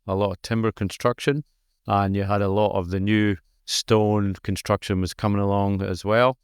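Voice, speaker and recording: A man's voice: on the low side, clean tone, no room sound, clean studio sound. A man with a Scottish accent, a podcast guest explaining history in a conversational way with many fillers. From Scotland. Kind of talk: conversational storytelling. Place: conference exhibition booth.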